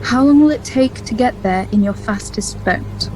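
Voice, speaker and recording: A woman's voice: a little high, normal speed, darkish tone, slight background noise, lightly acted, poor audio. A woman playing a practical, level-headed scientist companion in an audio drama, speaking matter-of-factly.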